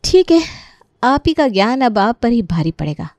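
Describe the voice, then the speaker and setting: Young scheming daughter-in-law, voiced by an actress, high pitch, meek on the surface, animated family drama, dry sound. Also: female voice, normal speed, no room sound. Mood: sly, resentful. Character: sly young daughter-in-law Shravani, feigning illness. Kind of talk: Hindi animated moral story acting.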